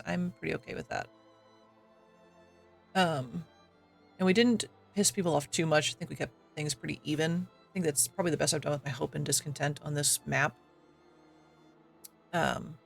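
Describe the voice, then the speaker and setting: A man streamer, slightly raspy from coughing, chatting casually and self-deprecatingly with lots of fillers and quick laughs while he plays. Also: a little high, no room sound. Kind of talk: casual live-stream chat while gaming.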